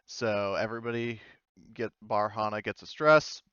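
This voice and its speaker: A man's voice: lowish voice, normal speed, a bit bright, clean tone, no echo, highs cut off. A man acting as game master, narrating a tabletop game casually and hesitantly as he thinks his rulings through aloud.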